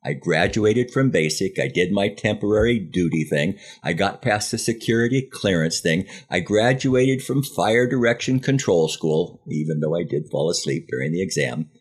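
A man's voice: low voice, lively intonation, moderate pace, hardly any pauses, slightly rough. An American man in his seventies telling a first-person memoir as a podcast host, in a wry, self-deprecating way that acts out bits of dialogue. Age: 70s.

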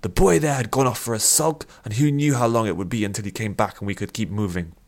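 A man's voice: lowish voice, fast, pitched above the performer's own voice, close to an everyday voice. A man voicing a desperate, addicted male bounty hunter in an audiobook story, given to growls and pleas. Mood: irritated snapping. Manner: growling, snapping and shouting, sinking to pleading whispers.

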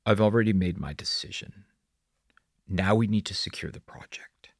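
Mercenary boss voiced by a male narrator: deep and gravelly, sarcastic, curt, threatening. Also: moderate pace, no room sound, clean studio sound. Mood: cold, threatening.

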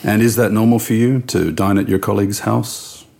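An actor playing a calm, courteous police detective in an audio drama, measured and soothing as he asks questions. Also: lowish voice, vocal fry. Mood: probing. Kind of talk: naturalistic audio drama acting.

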